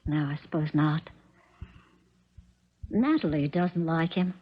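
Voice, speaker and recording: An American woman playing an uneasy, wounded stepmother in a 1970s radio drama, speaking in a halting, earnest way. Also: deep voice, lively intonation, husky, exaggerated voice. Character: uneasy, hurt stepmother with eerie intuition. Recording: muffled 1970s radio recording.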